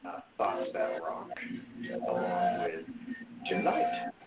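A very deep-voiced man, a guest on a live show, telling his story in a relaxed, chatty way. The sound is narrow and phone-like with a faint hiss. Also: takes it slowly. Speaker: guest, speaker and former musician. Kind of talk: casual interview talk. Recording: tinny call line with faint hiss.

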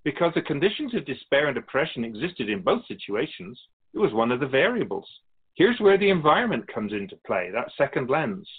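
Man as measured, solemn keeper of souls. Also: medium pitch, big pitch swings, breathy edge, narrow, tinny audio. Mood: calm, instructive. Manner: measured, didactic, formal. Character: calm otherworldly male soul keeper, earnest storytelling spirit. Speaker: interviewee. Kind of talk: radio drama dialogue.

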